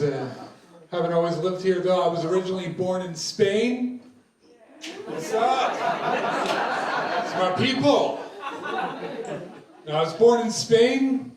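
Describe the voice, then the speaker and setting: Brief: brash American-Scottish stand-up, slightly high voice, echoey club, laughing crowd. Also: male voice. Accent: American with a Scottish tinge. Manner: brash, profane, conversational with the crowd. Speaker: stand-up comedian. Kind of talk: stand-up set. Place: comedy club stage. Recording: echoey room, distant mic, audience laughter.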